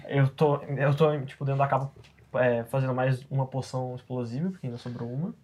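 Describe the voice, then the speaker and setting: A man's voice: mid-range voice, brisk, a little mellow. A Brazilian man talking casually and with slang as a player in a tabletop role-playing game. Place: in-person group game session in a room. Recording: noisy live group session, clicks.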